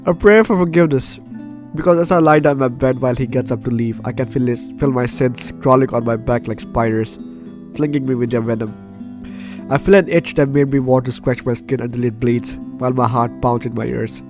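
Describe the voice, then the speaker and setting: A man's voice: mid-range voice, steady tone, fairly quick, a little mellow, faint music behind. A man hosting a casual let's play, reading game text aloud with commentary and often stumbling over and repeating phrases. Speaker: gaming video host.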